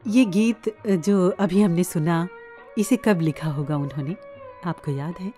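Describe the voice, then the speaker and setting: A woman's voice: on the low side, measured pace, dark tone, soft background music. A woman hosting a devotional radio interview, attentive and affirming as she listens and asks questions. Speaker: radio programme host.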